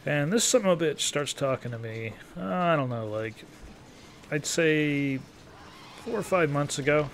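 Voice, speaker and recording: A man in his early thirties giving casual, rambling gameplay commentary in an informal, halting, profane, self-deprecating way. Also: fairly high pitch, animated, fairly bright tone, a little hiss or hum. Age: early 30s. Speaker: gaming video creator.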